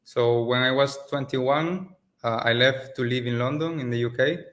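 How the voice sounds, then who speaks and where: A man from Spain, a native Spanish speaker in his late twenties, talking relaxed and casual as a guest on a video call, with loose phrasing and restarts. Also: medium pitch, moderate pace. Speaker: agency owner, guest. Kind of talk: casual interview over a call. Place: rented office in Mexico.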